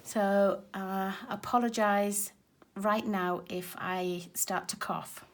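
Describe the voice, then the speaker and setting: A woman with a raspy, hoarse voice from a cold, chatting casually as she demonstrates card making at her craft table. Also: medium pitch, fairly even intonation, takes it slowly. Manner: chatty and explanatory, talking while working with her hands. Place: craft table at home, filmed from overhead.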